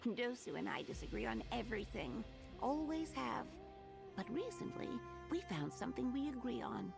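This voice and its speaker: Earnest tribeswoman, video game dialogue, formal and measured, gravelly edge, music underneath. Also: on the high side, big pitch swings, exaggerated voice. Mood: candid, resolute. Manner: formal, measured, poetic phrasing. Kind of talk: video game character acting. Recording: music behind the voice.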